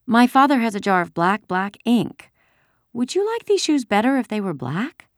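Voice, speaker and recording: Woman playing young girl, raised pitch, lively melody, helpful, theatrical, persuasive, storybook dialogue. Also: normal speed, no room sound, studio quality. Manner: sophisticated, bright persuasive delivery. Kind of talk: acted children's audiobook dialogue.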